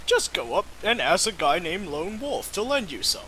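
A man's voice: high voice, very animated, moderate pace, pushed and bright, husky, rough recording. A man giving an amateur, mock-dramatic reading of fan fiction with casual asides.

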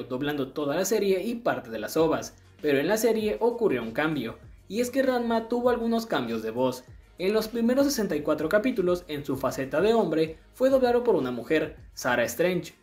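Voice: medium pitch, very animated, fairly quick, a little mellow. A narrator with a Latin American Spanish accent, reading a scripted video essay. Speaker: narrator of an anime dubbing history video. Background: background music.

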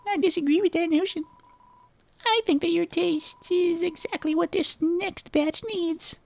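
A woman's voice: very high voice, steady tone, unhurried, gravelly, a little mellow, exaggerated voice. A woman voicing a dainty, teasing spider monster from a video game, with a mock-sweet, taunting manner. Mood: sweetly menacing.

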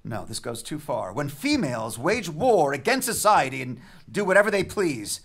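An authoritarian king in a Greek tragedy reading. His voice is high for a man and swings widely. He is arrogant, scornful and commanding. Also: very animated, normal speed. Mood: outraged. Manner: commanding, sneering. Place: stage in a theatre. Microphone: tabletop microphone.

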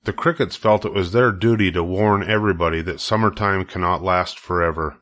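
A man narrating a children's story in a measured, deliberate way. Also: sits low, a bit bright. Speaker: storybook reader.

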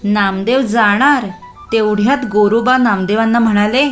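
A woman's voice: medium pitch, steady tone, normal speed, soft background music. A woman narrating a scripted children's story expressively, addressing young listeners with questions.

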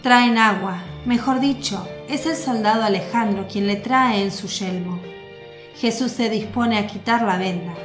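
A woman giving measured, scripted narration for a radio drama. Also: mid-range voice. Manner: measured, descriptive. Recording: echoey with music bed.

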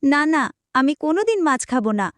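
A woman plays a stubborn little village girl in a cartoon, high-voiced and pleading. The sound is very dry. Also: big pitch swings, fairly quick, fairly bright tone, no room sound. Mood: pleading, sulky. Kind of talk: cartoon voice acting.

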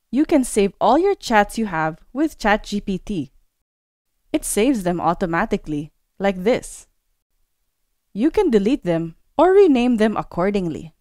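A woman narrating a scripted screen-recorded tutorial as a course instructor, explaining step by step in a clear way. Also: mid-range voice, darkish tone, no echo, clean studio sound.

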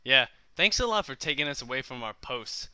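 A man's voice: medium pitch, very animated, a little fast, pushed and bright, no room sound. A young man performing short amateur script takes, bluntly swearing and restarting when he fumbles. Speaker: amateur voice actor.

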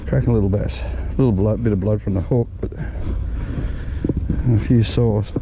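A man's voice: on the low side, steady tone, unhurried, lo-fi sound. Australian man chatting while fishing outdoors. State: sweating from walking. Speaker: fishing vlogger. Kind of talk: casual running commentary. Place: outdoors on a grassy lakeshore. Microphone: head-mounted action camera. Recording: outdoor wind and ambient noise.

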